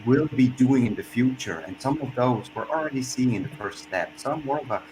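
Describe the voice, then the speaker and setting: A man from Switzerland speaking as a panelist in an online discussion, reflective and pausing often as he restarts his sentences. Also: medium pitch, animated. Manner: reflective, with frequent pauses and restarts. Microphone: video call. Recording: noisy video-call audio.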